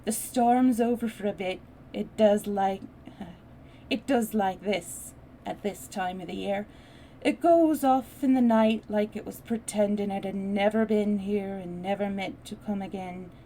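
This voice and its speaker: A woman's voice: medium pitch, a little slow, a little hiss or hum, close to an everyday voice, poor audio. A woman voicing a cheerful young Yorkshire housemaid in a storybook reading, with a put-on broad Yorkshire accent. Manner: broad dialect, chatty and bright.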